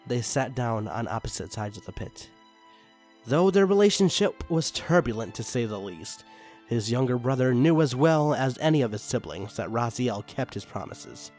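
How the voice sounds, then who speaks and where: A man's voice: medium pitch, big pitch swings, normal speed, darkish tone. A man reading dramatic fiction aloud as a scripted narrator. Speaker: narrator and story reader. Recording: background music under the reading. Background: music.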